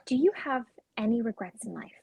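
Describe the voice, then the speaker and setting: A woman's voice: a little high, expressive, fairly quick, vocal fry, darkish tone, slightly rough, full character voice. A woman acting a restless, searching female character who longs for change, in a dramatic script reading. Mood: reflective.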